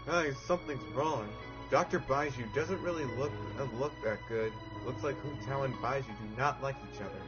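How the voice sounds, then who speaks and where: A man streams a game with casual, thinking-aloud commentary. His voice sits at an average pitch. The audio is somewhat dull, and game music plays clearly behind him. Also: normal speed. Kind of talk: casual live gameplay commentary.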